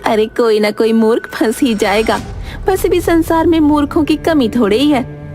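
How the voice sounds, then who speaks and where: A woman's voice: on the high side, normal speed, dark tone, soft background music, close to an everyday voice. A woman playing a cunning, boastful village wife who talks in a sly, pushy, persuasive way. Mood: scheming.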